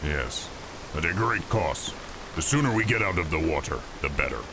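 A man voices a weary orc guide with a very low, creaking voice. He speaks tersely and solemnly, as in a fantasy audio drama, with clearly audible noise like rain and water around him. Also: expressive, moderate pace. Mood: grim, guarded. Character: grim, weary male orc guide on the run.

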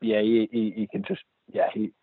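A man's voice: fairly low pitch, completely flat delivery, moderate pace, breathy edge, dry recording. Yorkshire man on a tinny phone line. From England. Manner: easygoing, chatty, self-deprecating, many fillers. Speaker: guest, brewery designer. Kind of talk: casual remote interview chat.

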